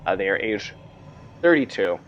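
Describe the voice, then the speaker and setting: A man presenting a tabletop roleplaying game, reading scripted text aloud with measured, storyteller-like delivery and adding his own commentary. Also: fairly low pitch, big pitch swings, a bit bright, lo-fi sound. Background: music behind the voice.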